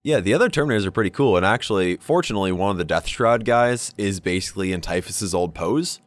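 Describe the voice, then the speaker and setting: A man's voice: on the low side, animated, fast, dry recording. A man co-hosting a podcast, talking casually about his hobby with slangy, informal opinions. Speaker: podcast co-host. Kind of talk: casual chat about tabletop wargaming.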